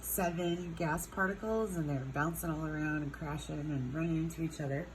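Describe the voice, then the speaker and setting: A woman teaching a chemistry lesson with a stuffed-up nose, speaking unhurriedly with many ums and drawn-out words. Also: low-pitched, steady tone, noisy background. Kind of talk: recorded classroom lesson.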